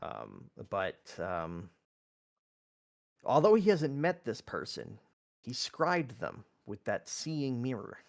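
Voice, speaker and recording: Guy playing tabletop rpg, casual, kinda hesitant thinking out loud, super animated pitch. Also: slow pace, creaky. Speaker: player in a tabletop roleplaying game. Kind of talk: casual, improvised game play.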